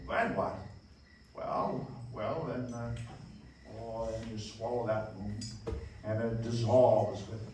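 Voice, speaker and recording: A man's voice: medium pitch, a little mellow, close to an everyday voice. A man playing an eager, stammering small-town young dreamer. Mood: romantic, rambling. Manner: stammering, hesitant, rambling. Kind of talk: live radio play acting, 1940s style. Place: live stage in a dance hall. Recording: very echoey hall, distant mic, faint noise.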